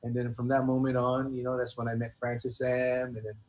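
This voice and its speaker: A middle-aged man reminiscing casually as an interview guest, in a halting, slangy way that often doubles back on words. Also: medium pitch, completely flat delivery, a little mellow. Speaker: guest, rapper.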